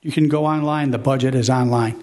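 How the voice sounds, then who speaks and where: A man's voice: mid-range voice, fairly even intonation, a little fast, a little mellow. A middle-aged man, the city manager, speaking courteously and matter-of-factly at a public council meeting. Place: council chamber.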